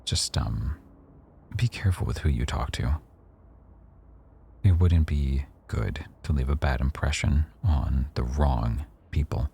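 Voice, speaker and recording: A man playing a rough-edged mafia boss who owns a cafe, teasing, probing and quietly threatening, in a boyfriend ASMR roleplay. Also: extremely low pitch, takes it slowly, slight background noise, heavily acted. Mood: cautioning. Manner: teasing, probing, smugly self-assured.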